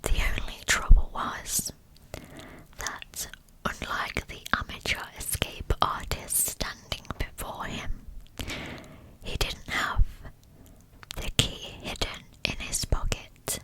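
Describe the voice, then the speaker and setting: A woman narrating a scripted story as ASMR in a breathy, unvoiced whisper, close to the microphone, in short deliberate phrases. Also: poor audio. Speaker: ASMR true crime storyteller.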